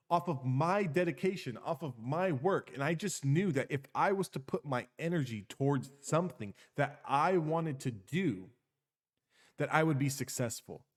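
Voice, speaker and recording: Emphatic man giving a motivational talk. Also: medium pitch. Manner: emphatic, repeats phrases, tags lines with "okay?", casual swearing. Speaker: motivational speaker. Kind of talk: unscripted motivational monologue.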